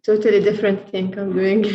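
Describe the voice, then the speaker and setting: Woman with even tone in an echoey room. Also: mid-range voice, moderate pace, highs cut off, crowd noise. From born in the United States, of Jordanian descent. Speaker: medical education center staff, former interpreter. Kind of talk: casual chat on a video call. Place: indoor room, on a video call. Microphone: computer video call microphone. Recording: roomy, echoing video call audio.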